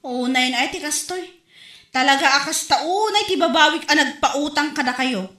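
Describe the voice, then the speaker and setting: A woman's voice: high-pitched, lively intonation, moderate pace, sharp tone, echoey room. A woman with an Ilocano accent, playing a pushy lender who nags a friend to repay a loan. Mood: exasperated. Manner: nagging, exasperated complaining.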